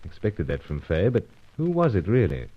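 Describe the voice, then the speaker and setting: An actor playing an unflappable secret agent in a radio spy adventure, with clipped, understated phrasing. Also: male voice, lowish voice, wildly swooping pitch, moderate pace, breathy edge, exaggerated voice. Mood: dry, understated. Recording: dull lo-fi recording.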